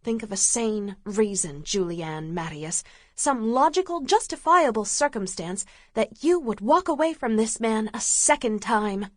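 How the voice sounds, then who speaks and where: A woman voicing a stubborn, hurt young male rancher in an audiobook, speaking low, firm and hushed. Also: animated, unhurried, no echo, studio quality. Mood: stern.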